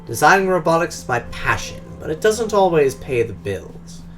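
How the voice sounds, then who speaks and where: A man voicing a polished, guarded Russian drone engineer who talks in a formal, measured, precise way, with a Russian accent. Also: a little high, big pitch swings, a bit bright, faint music behind.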